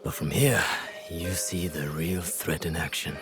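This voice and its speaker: An actor playing a shrewd strategist who explains tactics in a measured, lecturing way. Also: male voice, sits low, fairly even intonation, over music. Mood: knowing. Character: shrewd older male strategist, calm and knowing.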